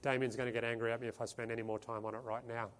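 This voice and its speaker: A fund manager walks a conference audience through his portfolio. He is a man who speaks haltingly, often repeating words and starting his sentences over. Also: mid-range voice, level tone. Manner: hesitant, with frequent restarts and repeated words. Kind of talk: conference presentation. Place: conference stage.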